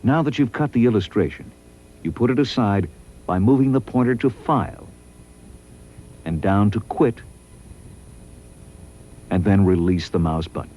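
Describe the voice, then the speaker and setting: A man reading scripted promotional training narration in a measured, instructional way. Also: on the low side, lively intonation, warm tone.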